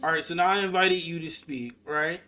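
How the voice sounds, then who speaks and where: A man's voice: fairly high pitch, fairly quick, pushed and bright, faint music behind. A man in his twenties hosting a live voice chat, talking in a casual, confrontational way with plenty of slang and swearing. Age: 20s. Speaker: host of an online live voice chat. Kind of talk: casual argumentative live conversation.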